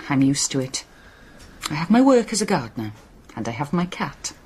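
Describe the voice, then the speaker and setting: An actress playing a curious, probing, lonely Welsh village gardener in an intimate radio drama. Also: female voice, sits low, sing-song, normal speed, darkish tone, slightly rough, full character voice. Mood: inquisitive.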